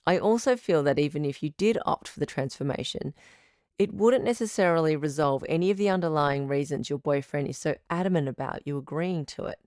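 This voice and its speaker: A woman playing a calm, supportive radio advice doctor in an audio drama, speaking in a measured, articulate, professional counselling tone. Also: low voice, no room sound, studio quality, close to an everyday voice. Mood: measured, advising. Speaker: radio advice show host, sex therapist.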